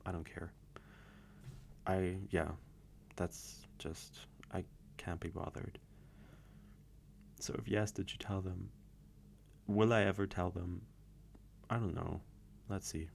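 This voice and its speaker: A man's voice: sits low, fairly even intonation, slow, creaky, long pauses, a little mellow, slight background noise. A man chatting casually through viewer questions, rambling and hesitant with many restarts. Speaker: audio creator answering viewer questions. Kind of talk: casual Q&A monologue.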